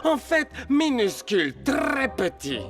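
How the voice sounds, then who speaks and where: A man's voice: very high voice, sing-song, slow pace, fairly bright tone, heavily acted. A man playing a small, cunning male cat in a French-dubbed children's cartoon, theatrical and coaxing. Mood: eager, coaxing. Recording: music behind the voice. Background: music.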